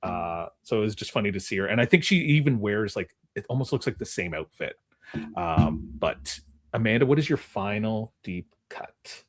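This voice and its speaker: A man hosts a casual game show podcast in a mid-pitched voice with animated intonation. He often cuts himself off and picks up again. The sound is dry, with little room around it. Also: moderate pace, no room sound. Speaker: host of a movie trivia game podcast. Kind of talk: casual chat, hosting a game.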